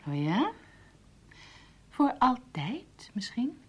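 An actress playing a suspicious, sharp-tongued wife in a radio play, sarcastic and challenging. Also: female voice, a little high, sing-song, very slow, slightly rough, full character voice. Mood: bitter. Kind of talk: Dutch radio play acting.

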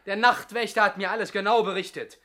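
An actor in a 1960s German radio play, playing a jovially stern, insistent police inspector who presses theatrically. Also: male voice, high-pitched, fairly even intonation, fast talker, bright, forceful tone. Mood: knowing, insistent.

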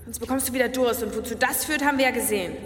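An actress playing a sharp-tongued, impatient young woman in a radio play, dismissive and mocking. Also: a little high, rapid-fire, close to an everyday voice. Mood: scornful. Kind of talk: radio drama acting. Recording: echoing cavernous hall, faint noise.

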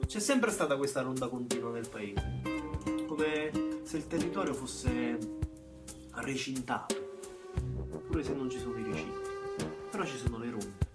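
A man's voice: medium pitch, lively intonation, unhurried, pauses often, recorded from a distance, lightly acted. An actor playing a bitter, plain-spoken young man from a small Camorra town, in a colloquial theatrical monologue with a Campanian accent and emphatic repetitions. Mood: matter-of-fact, bitter. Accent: southern Italian, Campanian. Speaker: stage actor. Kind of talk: theatrical monologue, first-person narration. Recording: music behind the voice. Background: plucked guitar music.

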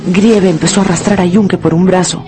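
Storyteller narrating over clear music. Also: mid-range voice, fairly even intonation, brisk. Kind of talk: scripted dramatic story narration.

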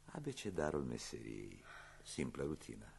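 An actor playing a shrewd police inspector in a radio play, measured, courteous and insinuating, with a gravelly, husky voice. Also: male voice, extremely low pitch, wildly swooping pitch, a little mellow, full character voice. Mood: probing. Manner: measured, insinuating, theatrically polite.

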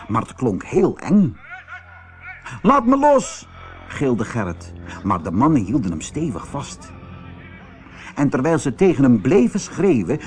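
Animated, unhurried male storyteller. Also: a little high. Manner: theatrical, expressive storytelling. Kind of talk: scripted children's audiobook narration.

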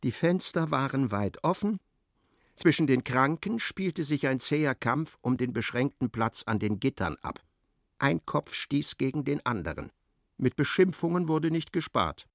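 A man narrating a literary radio drama in a measured, articulate, storytelling way. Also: medium pitch, animated, no echo, studio quality.

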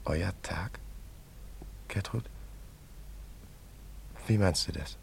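An actor in a radio drama playing a young travelling painter, friendly, teasing and coaxing. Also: male voice, deep voice, very slow, vocal fry, slight background noise, full character voice. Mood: curious, gentle. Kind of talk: German radio drama acting.

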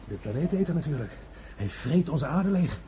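A man's voice: fairly high pitch, very animated, normal speed, mellow, a little hiss or hum. An actor playing a feverish, crazed male astronaut who raves exultantly about a world-devouring monster in a science-fiction radio drama. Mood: ominous raving. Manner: raving, exultant, breaking into manic laughter.

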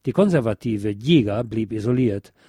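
A man reading a history text aloud as a radio host, in a measured, factual and formal way. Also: fairly low pitch, animated, warm tone, slightly rough, dry recording, studio quality. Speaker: radio programme host reading a book chapter. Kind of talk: scripted narration, reading aloud from a history book.

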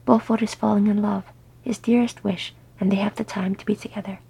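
A woman voicing a stern but sentimental older male dwarf leader, measured and solemn, in a fantasy story. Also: medium pitch, fairly even intonation, creaky, warm tone, breathy edge, poor audio. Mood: moved.

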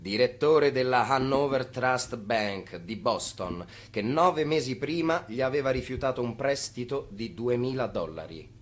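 An actor playing a wry, didactic narrator in an Italian radio play, addressing the listener directly like an instructor. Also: male voice, medium pitch, animated, unhurried, hardly any pauses. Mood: wry, instructive. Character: wry, instructive male storyteller of a swindle.

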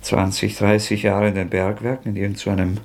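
A feverish young killer, gravelly and husky, bitter and scornful. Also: male voice, lowish voice, little pitch movement, fairly quick, darkish tone. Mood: sarcastic. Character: tormented young male murderer, feverish, bitter.

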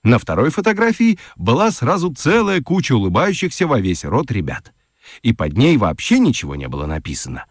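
A man narrating a children's radio play as an expressive, scripted storyteller. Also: mid-range voice, fairly quick, no echo, clean studio sound.

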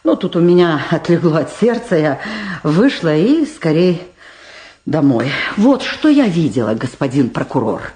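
A woman's voice: sits low, very animated, measured pace, dark tone, roomy sound. An actress playing a nervous, talkative middle-aged woman witness in a radio play, hesitant, rambling and evasive. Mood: earnest recounting. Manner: hesitant, rambling, stammering under pressure. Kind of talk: radio play dramatic acting.

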